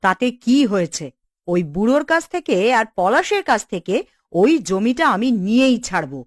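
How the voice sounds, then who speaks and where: A woman's voice: mid-range voice, very animated, moderate pace, dry recording, clean studio sound. An actress playing a bold, bossy village woman in a cartoon, assertive and scolding. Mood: scheming, stern. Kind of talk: Bengali cartoon dialogue.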